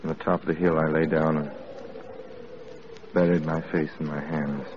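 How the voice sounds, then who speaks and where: A man narrating an old radio drama in a measured, wistful, theatrical voice. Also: very deep voice, level tone. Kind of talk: 1940s radio acting. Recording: dull old radio broadcast with loud hiss.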